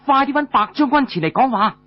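A man voicing a bold, defiant young warrior woman in an audiobook, brisk and commanding. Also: very high-pitched, animated, dull audio, faint music behind, voice pushed up.

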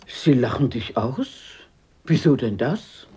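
An actress playing a blunt, fearless, warm-hearted old grandmother in a radio drama, brisk and outspoken, scolding yet affectionate. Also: extremely low pitch, big pitch swings, noisy background, full character voice. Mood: indignant. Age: older.

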